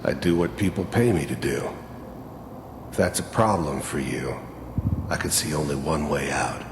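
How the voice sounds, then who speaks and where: World-weary game mercenary, extremely low gravelly voice, terse and bitter, roomy echo. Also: male voice, normal speed, background noise. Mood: cold, terse. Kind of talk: video game voice acting, reflective narration and dialogue.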